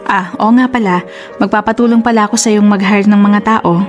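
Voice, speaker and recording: Confident Filipina big sister played by an actress, encouraging. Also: female voice, medium pitch, steady tone, a little fast, soft background music, lightly acted. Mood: confident, businesslike. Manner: encouraging, conversational, English-peppered. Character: confident, supportive older-sister business partner, brisk and reassuring. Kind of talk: Filipino radio drama acting.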